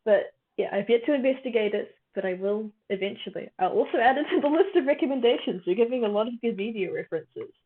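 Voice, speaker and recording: A woman's voice: a little high, lively intonation, quick pace, few pauses. A young woman from New Zealand chatting on a livestream with a tired voice, summarizing an article and answering viewers in a chatty way with lots of fillers. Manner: chatty, laughs often, many fillers, answers chat as she goes. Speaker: livestream host. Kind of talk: casual chat with a live chat, summarizing a reading. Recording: phone-like thin stream audio.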